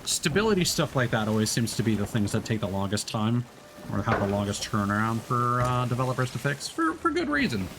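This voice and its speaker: A man's voice: medium pitch, sing-song, a little fast, background noise. A man streaming a game, talking casually with lots of fillers and restarts. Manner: rambling, many fillers and restarts. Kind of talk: casual gameplay commentary.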